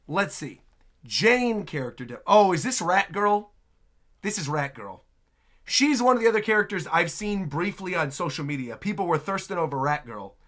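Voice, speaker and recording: A man's voice: sits high, pushed and bright. An American man hosting a reaction video with casual, exclamatory, jokey commentary. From United States, Midwest. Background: trailer audio and music.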